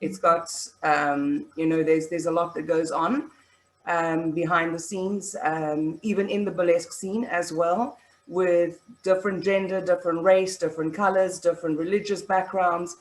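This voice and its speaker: A woman's voice: lowish voice, fairly even intonation, moderate pace, echoey room, low-quality recording. A woman from South Africa with a South African accent, speaking sincerely with frequent hesitations on a panel. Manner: sincere, hesitant with many ums. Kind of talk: video panel introduction.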